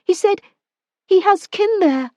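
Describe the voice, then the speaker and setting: A woman voicing a frantic young woman who pleads in a high, trembling voice. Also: lively intonation, takes it slowly, mellow, no echo, studio quality, exaggerated voice. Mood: desperate pleading.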